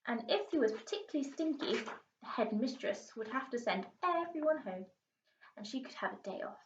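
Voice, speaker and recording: A woman teacher reading a children's storybook aloud in an expressive storytelling style. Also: a little high, moderate pace, a little hiss or hum. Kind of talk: storybook narration. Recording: roomy, distant, muffled home recording.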